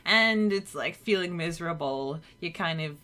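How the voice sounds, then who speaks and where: A woman's voice: lowish voice, expressive, moderate pace, sharp tone. A woman co-hosting a podcast, chatting casually about a story chapter and laughing often. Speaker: podcast co-host. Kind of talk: casual book-club chat.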